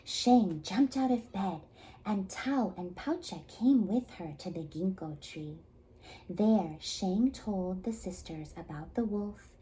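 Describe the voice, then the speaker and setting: Lady reading a kids picture book, super animated pitch, dramatic pauses, bit of background noise. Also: low-quality recording. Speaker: storybook reader at a community library. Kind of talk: expressive children's story reading.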